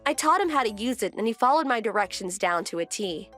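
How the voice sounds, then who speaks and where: A woman's voice: on the high side, animated, fast talker, fairly bright tone, faint music behind. A woman playing a composed, wry wife who narrates her domestic story in a scripted manga dub. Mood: calm.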